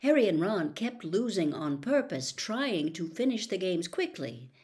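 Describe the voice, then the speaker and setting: A woman's voice: fairly low pitch, sing-song, measured pace, a little mellow. A woman reading a children's fantasy novel aloud as a storybook narrator. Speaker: audiobook reader.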